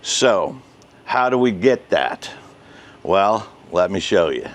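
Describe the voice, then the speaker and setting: Slow-talking older man, halting. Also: deep voice, big pitch swings. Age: 60s. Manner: halting, deliberate delivery. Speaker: host, ham radio hobbyist. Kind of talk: casual step-by-step tutorial. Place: outdoors, residential backyard and covered patio.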